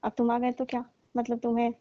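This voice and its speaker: A woman's voice: a little high, monotone, quick pace, close to an everyday voice. A woman playing a coaxing middle-aged aunty on a phone call, wheedling and pleading as she keeps calling him "babu". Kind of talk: scripted comedy phone call. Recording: muffled phone call recording.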